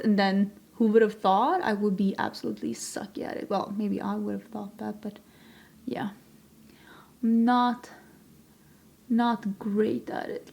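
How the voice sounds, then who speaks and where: A young woman talks casually through a makeup tutorial in a fairly high voice. She chats as she works and pokes fun at herself colours her English. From Sweden. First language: Swedish. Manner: chatty, self-deprecating, talks while working. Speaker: beauty vlogger.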